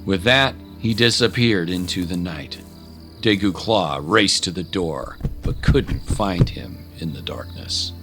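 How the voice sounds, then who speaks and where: A man narrates a scripted adventure drama in a lively, widely varying voice that rises with the action. Music runs clearly behind him. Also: low-pitched, slow.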